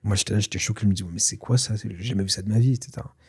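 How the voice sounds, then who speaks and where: A man whose first language is Tashelhit, telling a personal story in a casual, lively way with many fillers and restarts. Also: lowish voice, very fast, husky. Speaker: guest telling his story. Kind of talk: casual spoken testimony.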